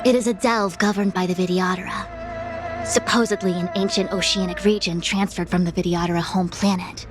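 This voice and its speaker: A woman voices a resolute fantasy game strategist. She sounds formal, commanding and earnest at a medium pitch, over clear background music. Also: lightly acted. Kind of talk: dramatic game dialogue.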